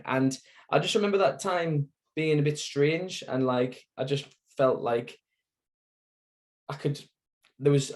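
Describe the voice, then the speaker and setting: A man's voice: medium pitch, darkish tone. A young man with a Sunderland accent, chatting as an interview guest in a plain mid-range voice. He rambles through his story, circling back with fillers and restarts. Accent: Sunderland (Mackem). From Sunderland, England. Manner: rambling, lots of fillers and self-corrections.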